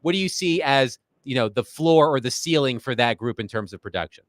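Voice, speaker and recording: Measured sports radio co-host. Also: male voice, mid-range voice, expressive, a bit bright, no room sound, studio quality. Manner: measured, thorough questioner.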